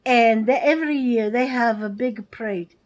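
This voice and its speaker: A woman's voice: a little high, normal speed. Chatty older woman with a put-on Italian-American accent. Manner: chatty, digressive, talks straight to viewers. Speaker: vlogger, family storyteller. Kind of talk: rambling family storytelling.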